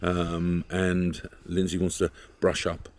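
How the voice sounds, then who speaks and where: A man's voice: deep voice, monotone, takes it slowly, a little mellow. A middle-aged British man chatting casually to camera as a travel vlogger. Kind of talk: casual vlog chat to camera. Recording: noisy vehicle and outdoor ambience.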